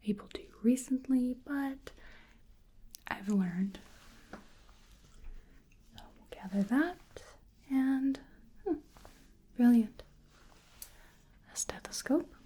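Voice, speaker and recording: Woman doing a bookish creature researcher for asmr roleplay, super slow n gentle, dark soft whispery voice. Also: a little high, exaggerated voice. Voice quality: breathy, largely whispered. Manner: gentle, polite, unhurried, musing to herself. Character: bookish, polite female cryptid researcher examining a creature. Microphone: close microphone.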